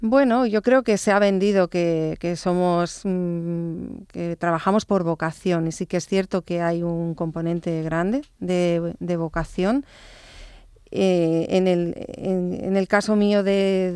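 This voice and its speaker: A woman in her fifties, a nurse, talking thoughtfully in an interview, with hesitant restarts and phrases that trail off softly. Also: fairly low pitch, steady tone, measured pace, clear, resonant tone, no room sound, clean studio sound. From born in England, raised in Barcelona. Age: 50s. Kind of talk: conversational interview.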